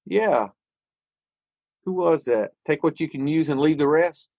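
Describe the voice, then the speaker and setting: A man's voice: a little high, animated, unhurried, vocal fry, a little mellow, no echo. A man, thinking aloud in a casual podcast conversation about recovery and spirituality. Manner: reflective, thinks aloud with frequent restarts. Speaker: podcast co-host.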